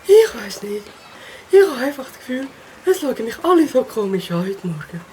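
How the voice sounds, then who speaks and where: Woman playing a shy little catfish kid, high swoopy voice, swiss german, unsure of himself, kids audio story, bit of noise. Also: sing-song, normal speed, darkish tone. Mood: anxious. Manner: timid, unsure. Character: shy, easily upset young catfish schoolboy. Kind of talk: Swiss children's audio drama acting.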